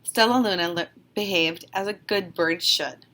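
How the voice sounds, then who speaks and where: A woman reading a children's picture book aloud with expressive storytelling narration. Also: on the low side, moderate pace. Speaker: storybook reader.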